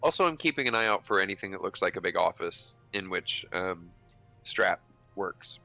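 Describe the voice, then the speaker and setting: A man's voice: deep voice, expressive, moderate pace, creaky, bright, forceful tone, faint music behind, poor audio. A man talking casually as a player in an improvised tabletop roleplaying game.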